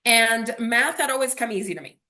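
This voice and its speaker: A woman's voice: on the high side, fairly quick, pushed and bright. A woman from Miami, a native Spanish speaker, telling her personal story as an interview guest in a reflective way, often checking in with short rhetorical questions. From Miami, USA.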